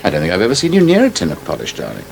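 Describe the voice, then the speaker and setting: An actor playing a sardonic, self-assured English husband with an upper-middle-class accent in a radio soap. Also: male voice, low-pitched, huge pitch swings, racing, creaky, heavily acted. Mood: testy. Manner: sardonic, lordly. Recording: slightly noisy 1980s radio broadcast.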